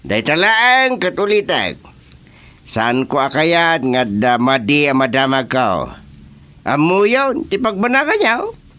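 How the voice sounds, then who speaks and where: Actor as a slow-talking, swooping bossy village chairman. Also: fairly high pitch, wildly swooping pitch, bright, forceful tone, full character voice. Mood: stern, official. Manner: lecturing, mixes English into his speech. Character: bossy, teasing male village council chairman. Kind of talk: rural radio comedy drama acting.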